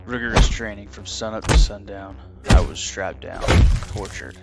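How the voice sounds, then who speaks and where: An actor playing a grave former disciple warrior in an audio drama, speaking gravelly, deliberate, solemn lines. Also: male voice, lowish voice, over loud music. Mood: intense, bitter. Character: grave male ex-disciple warrior with tortured past.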